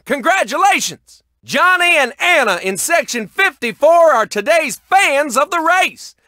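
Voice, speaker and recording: A man playing an excitable, folksy race announcer in a cartoon racing video game, with showy sports commentary delivery. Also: very high voice, unhurried, no echo, clean studio sound, exaggerated voice. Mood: upbeat announcing.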